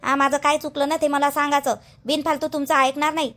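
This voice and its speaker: A woman's voice: high voice, level tone, fast, fairly bright tone. A woman playing an aggrieved, stubborn young village wife in a family cartoon, protesting and questioning in a Vidarbha Marathi accent. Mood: defiant. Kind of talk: family drama cartoon dialogue.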